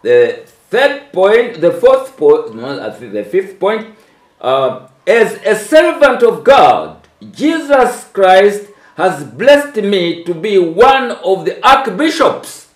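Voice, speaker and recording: An elderly Tanzanian churchman in a small, echoey tiled room, slowly and deliberately setting out his beliefs a phrase at a time for an interpreter. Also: high voice, big pitch swings. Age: 70s. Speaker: archbishop. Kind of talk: religious address given phrase by phrase for an interpreter.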